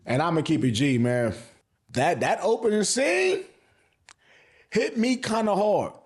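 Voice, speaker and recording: High-pitched man, slangy and emphatic. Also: animated, a little slow. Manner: slangy, emphatic, swears often, repeats phrases for emphasis. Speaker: anime reviewer and channel host. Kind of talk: casual opinionated vlog commentary.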